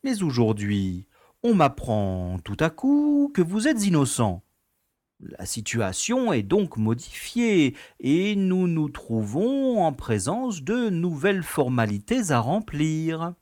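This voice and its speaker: A man acting as an affable but fussy bureaucrat running a prison, in a radio play. His delivery is theatrical and swooping, formal and gently condescending, in a dry, close sound with an unhurried pace. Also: fairly high pitch, huge pitch swings, no echo, heavily acted. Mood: placating. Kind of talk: French audio drama acting.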